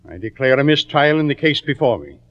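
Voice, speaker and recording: A man's voice: medium pitch, big pitch swings, close to an everyday voice. An actor in an old-time radio drama playing a stern, formal male judge with an authoritative manner. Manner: formal, authoritative, brisk questioning. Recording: dull vintage radio recording.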